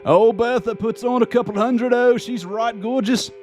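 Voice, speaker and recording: A man voicing an excitable Australian wildlife presenter who gushes over animals in a video game. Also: extremely high pitch, moderate pace, soft background music, voice pushed up. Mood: gushing. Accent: put-on Australian. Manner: excitable, gushing.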